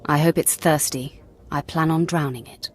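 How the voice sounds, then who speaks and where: Low-voiced, menacing young Sith woman. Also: fairly even intonation, moderate pace. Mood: cold, bloodthirsty. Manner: cold, threatening, self-assured. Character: menacing, arrogant young female Sith acolyte. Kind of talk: dramatic video game dialogue.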